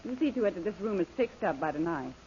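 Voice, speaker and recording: An actress playing a bossy, scheming femme fatale in an old radio serial, commanding and sly. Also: female voice, lowish voice, very animated, fast talker, warm tone. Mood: brisk, imperious. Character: bossy, scheming siren villainess, beautiful and vain. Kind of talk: 1930s radio serial acting. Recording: noisy, muffled old-time radio recording.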